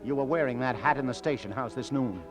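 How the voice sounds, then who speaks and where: An actor playing a hard-boiled police detective, weary but firm, with terse, slangy delivery in old radio crime drama style. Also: male voice, medium pitch, fast talker, over music, natural voice. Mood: accusing. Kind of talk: 1940s-50s radio crime drama acting.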